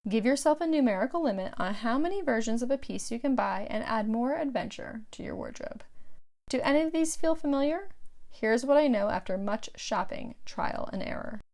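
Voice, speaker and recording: A middle-aged woman narrating her own audiobook from a script, with measured, clearly phrased delivery. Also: a little high, expressive, a little mellow.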